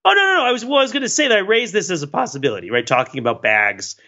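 Animated man podcaster, bright voice, slightly muffled. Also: high voice, racing. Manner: animated, rambling, wryly self-deprecating. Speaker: podcast co-host. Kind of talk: casual chat.

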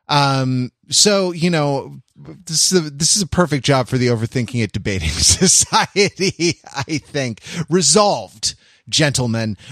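A man hosting a casual discussion podcast, talking in a halting, wry way with touches of mock formality. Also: mid-range voice, fairly bright tone, dry recording, clean studio sound. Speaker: podcast host. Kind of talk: casual chat, moderating a discussion.

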